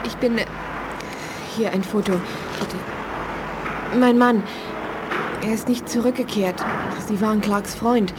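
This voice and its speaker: A woman's voice: medium pitch, steady tone, slow, long pauses, heavy background noise, natural voice. An actress playing a nervous, defensive young woman spy in a 1980s German radio play, speaking hesitantly. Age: young adult.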